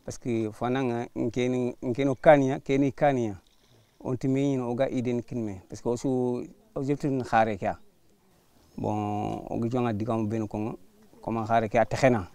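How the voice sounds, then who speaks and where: Mellow man with mid-range voice. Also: medium pitch, fairly even intonation, measured pace, clear, resonant tone, no echo. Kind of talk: informal explanation, code-switching.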